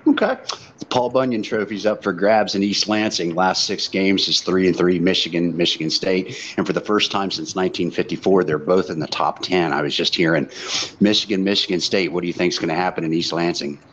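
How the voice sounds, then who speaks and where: Male sports host, very low voice, casual football chat, slightly dull lo-fi sound with faint noise. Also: fairly quick, a bit bright. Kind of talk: casual college football talk.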